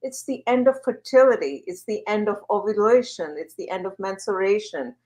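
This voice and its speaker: A woman who grew up in India answers an interviewer's questions in a lively, earnest way, often pausing on fillers. Her voice sits at an ordinary middle pitch for a woman. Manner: animated, earnest, uses fillers. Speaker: guest, wellness platform founder.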